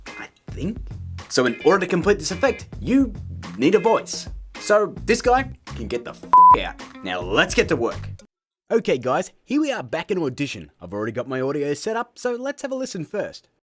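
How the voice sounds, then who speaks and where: A man hosting a casual software tutorial in a cheeky, self-mocking way, with jokey asides. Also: a little high, wildly swooping pitch, normal speed. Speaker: host of a video-editing tutorial show. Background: music.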